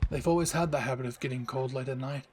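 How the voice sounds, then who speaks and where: A man's voice: medium pitch, fast, background noise, natural voice. A man voicing a brash, cocky young superhero boyfriend who teases affectionately in an intimate romantic audio roleplay. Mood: tender, protective. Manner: teasing, affectionate, swears casually.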